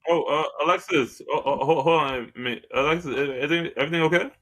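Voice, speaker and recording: A man's voice: fairly high pitch, fast, fairly bright tone. A man from New Jersey hosting a podcast in easygoing casual chat, stammering a little.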